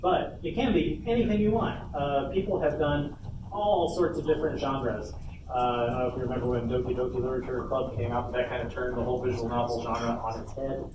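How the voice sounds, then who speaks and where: A man's voice: medium pitch, fairly even intonation, brisk. A man giving an informal instructional talk to an audience as a workshop presenter at a convention panel. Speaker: workshop presenter, game developer. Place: indoor convention panel room. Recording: roomy echo, distant mic, noisy convention room.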